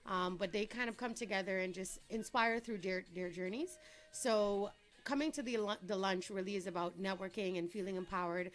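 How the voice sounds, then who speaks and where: A woman's voice: medium pitch, fairly even intonation, moderate pace. A young adult woman from Trinidad, a guest entrepreneur in a casual interview, talking in an upbeat, chatty way and laughing easily.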